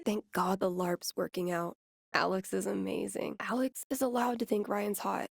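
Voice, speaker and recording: A geeky young woman from a video game. She talks in a casual, slangy way with a dry, sardonic edge and a playful streak. The sound is close and dry, with a slight creak in the voice. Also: medium pitch, fairly even intonation, normal speed, no echo. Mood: lovestruck, uncertain. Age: young adult. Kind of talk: naturalistic game voice acting.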